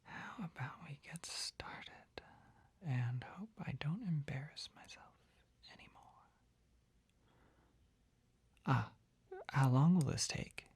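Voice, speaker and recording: An actor voicing a shy, flustered, gentle poison-selling apothecary in a soft, breathy, hesitant whisper for an ASMR roleplay. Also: mid-range voice, heavily acted. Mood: gentle, helpful. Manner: hesitant, halting, apologetic, easily embarrassed.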